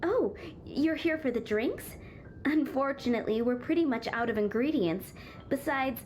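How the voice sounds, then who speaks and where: A woman's voice: high-pitched, lively intonation, moderate pace, a little mellow, soft background music. A woman playing a courteous but firm female tavern manager in an anime game, composed and polite. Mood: apologetic.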